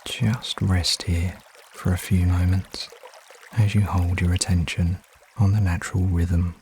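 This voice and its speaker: Male meditation guide, deep register, soothing and unhurried with frequent pauses, trickling water behind. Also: slight background noise. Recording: steady water ambience behind voice. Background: trickling stream water sounds.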